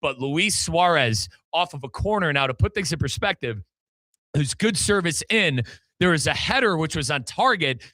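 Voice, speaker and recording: A man hosts a sports talk show with a very bright, forceful voice set a little high. The sound is close and dry, and he leans on his words emphatically. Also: expressive, normal speed, no room sound, poor audio. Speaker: sports radio show host and football play-by-play commentator. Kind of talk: animated sports talk with sponsor reads.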